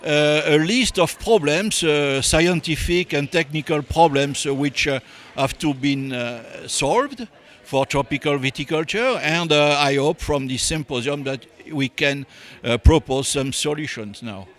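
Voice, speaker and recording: A French-accented man speaking English in a slightly higher-than-usual register. He is a professor being interviewed at a conference, and he searches for words with frequent hesitations. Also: unhurried, fairly bright tone. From France. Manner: hesitant, many fillers. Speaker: viticulture professor.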